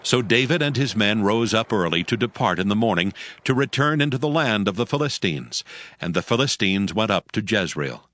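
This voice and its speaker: A man narrating a dramatized audio Bible, reading scripture as a solemn, measured storyteller. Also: fairly low pitch, expressive, fairly bright tone.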